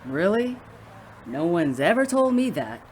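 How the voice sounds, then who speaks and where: A woman's voice: on the low side, very animated, unhurried, a little hiss or hum. A woman playing a wry, enthusiastic older rocker in a conversational audio drama. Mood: dry, wry.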